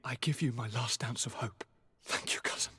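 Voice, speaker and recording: An actor playing an ailing, despairing young nobleman in a video game, with a breathy, husky voice. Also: mid-range voice, normal speed, sharp tone. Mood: weary, grateful. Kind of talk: video game cutscene acting.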